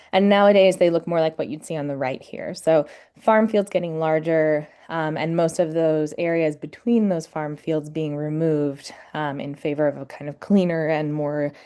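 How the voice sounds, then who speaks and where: Lower-voiced woman giving a webinar talk. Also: fairly even intonation, moderate pace, mellow, smooth. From New York City. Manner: informal, with frequent fillers. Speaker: academic lecturer. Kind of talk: webinar lecture. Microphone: computer mic on a video call.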